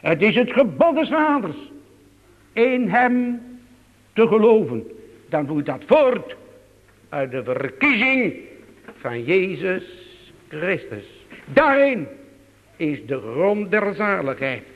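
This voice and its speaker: A man's voice: sits high, sing-song, slow pace, pauses often, in a large hall, muffled recording. A man preaching a sermon in a church, with a solemn, swooping preaching cadence.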